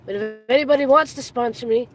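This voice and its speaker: A raspy-voiced live streamer with heavy breathing, rambling casually while driving a car. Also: mid-range voice, quick pace, music in the background, low-quality recording. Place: inside a moving car. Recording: road and car noise.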